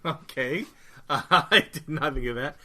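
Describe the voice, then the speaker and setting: A man's voice: fairly high pitch, measured pace, bright, forceful tone. A man co-hosting a podcast who chats casually, teasing his partner and laughing often. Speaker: podcast co-host. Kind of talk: casual chat about a TV episode.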